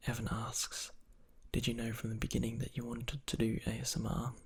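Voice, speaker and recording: A young man, an ASMR channel creator, answers viewer questions in a soft whisper, rambling with fillers. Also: medium pitch, monotone, a little fast, vocal fry, slightly rough.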